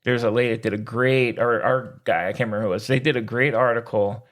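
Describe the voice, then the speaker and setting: A man's voice: mid-range voice, steady tone, quick pace, a bit bright. A man co-hosting a sports podcast, talking in casual, slangy banter with a sarcastic, jokey, exclamatory manner.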